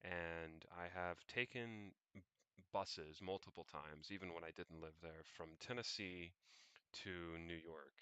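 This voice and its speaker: Very deep-voiced young man thinking aloud. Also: pushed and bright, clear, resonant tone, no room sound. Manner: halting, meandering, thinking aloud. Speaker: vlogger. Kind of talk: unscripted think-aloud screen narration.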